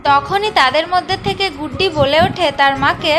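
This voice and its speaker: A woman's voice: high-pitched, moderate pace, over music. A woman narrating a scripted children's cartoon story.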